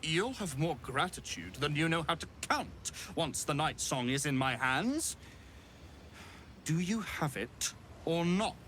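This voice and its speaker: An actor playing a haughty, theatrical wizard in a video game, smugly menacing, with a pompous and deliberate delivery. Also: male voice, fairly high pitch, lively intonation, fairly bright tone, slight background noise. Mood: disdainful, impatient. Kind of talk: video game villain acting.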